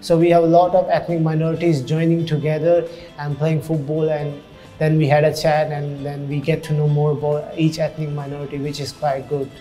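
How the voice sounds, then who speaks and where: A man's voice: on the high side, level tone, mellow, faint music behind. A man in his thirties from Hong Kong, a youth program coordinator, talking earnestly in a documentary interview with many uh fillers. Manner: earnest, halting with frequent uh fillers. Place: indoor community centre room.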